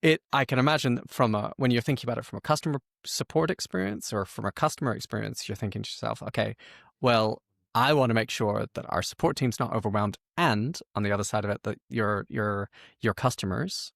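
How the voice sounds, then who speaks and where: A man hosting a conversational podcast interview, speaking in slightly halting, repeated phrases. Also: on the low side, vocal fry, a bit bright, no room sound, studio quality.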